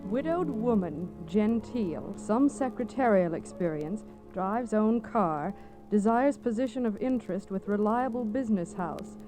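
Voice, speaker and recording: A woman playing a genteel widow's newspaper advertisement read aloud, in clipped, measured 1940s radio style. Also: mid-range voice, expressive, close to an everyday voice. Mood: formal, measured. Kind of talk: 1940s radio drama acting. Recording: restored 1940s radio recording, music behind.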